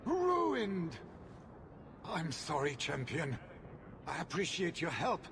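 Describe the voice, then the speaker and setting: Game casting: greedy mine boss, high-pitched, slow theatrical lamenting, noisy ambience behind. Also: male voice, creaky, heavily acted. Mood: self-pitying. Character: melodramatic, greedy, self-pitying male mine owner. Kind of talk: dramatic video game dialogue.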